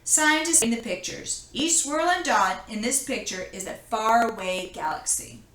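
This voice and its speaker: Bright-voiced woman reading aloud, echoey room. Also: on the high side, expressive. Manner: explanatory, pausing to point things out. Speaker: reader of a children's book. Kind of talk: children's read-aloud of a science picture book.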